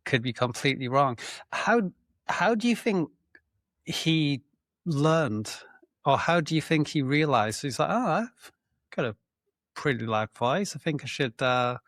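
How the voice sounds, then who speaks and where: A man's voice: a little high, lively intonation, a little slow, a bit bright, no echo, clean studio sound. A man co-hosting a podcast, chatting casually in a hesitant, hedging way and laughing often. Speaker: podcast co-host. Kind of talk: casual banter.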